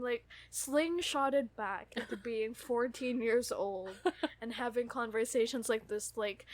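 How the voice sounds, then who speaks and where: A woman's voice: on the high side, a bit bright, no echo. A woman co-hosting a podcast, chatting casually and gushing as a fan. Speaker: podcast co-host.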